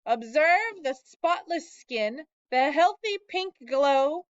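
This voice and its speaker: A pompous loudspeaker announcer at a country fair, put on by a woman, booming and grand. The voice sits high, loud and slow, stretching every word. The recording is dull and lo-fi, with a dry sound. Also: lively intonation, dry recording.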